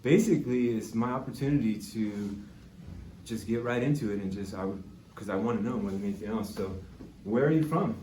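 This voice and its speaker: A young man hosting a casual podcast, eager and quick to exclaim at what his guest says. His voice sits low and soft-edged, and the room around him rings with echo. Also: recorded from a distance. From Bay Area, California. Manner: enthusiastic, exclaiming. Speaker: podcast host. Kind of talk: casual interview chat. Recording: echoey room with background noise.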